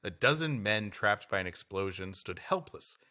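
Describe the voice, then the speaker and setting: A man's voice: fairly low pitch, animated, moderate pace, pushed and bright. A man co-hosting a comedy podcast, reading history aloud in mock-solemn fashion and breaking off for improvised joking asides. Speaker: podcast co-host.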